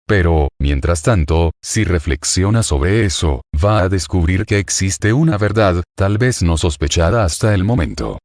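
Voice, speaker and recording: A man from Argentina with an Argentine accent, a psychoanalyst reading a scripted self-interview in a solemn, deliberate, self-assured way. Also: sits low, lively intonation, a little mellow, no echo, studio quality. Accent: Argentine Spanish.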